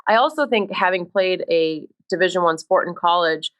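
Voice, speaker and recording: A woman's voice: on the low side, normal speed, a bit bright, clear, resonant tone. Upbeat woman sports reporter laughing easily. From Minnesota. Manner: laughs often, upbeat and self-deprecating. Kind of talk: casual interview.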